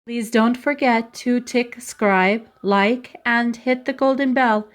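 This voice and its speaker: Slow, high-pitched female channel host. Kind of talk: scripted video sign-off.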